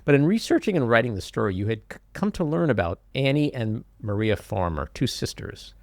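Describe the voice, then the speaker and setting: A man hosting a podcast interview, asking questions in a measured, earnest way. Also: medium pitch, very animated, darkish tone, no room sound. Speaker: podcast host. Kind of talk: interview conversation.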